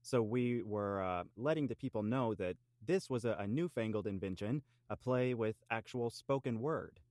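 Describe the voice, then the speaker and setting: A man playing a cheeky island local, halting and mock-formal as he proudly banters about quirky traditions on an improvised comedy podcast. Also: on the low side, darkish tone, no room sound, studio quality, close to an everyday voice.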